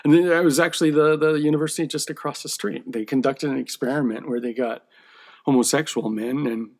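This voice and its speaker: A man's voice: medium pitch, steady tone, fairly quick, a little mellow. A man who draws comic books, talking in a casual, rambling monologue that often checks in with "okay?". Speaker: comic book artist.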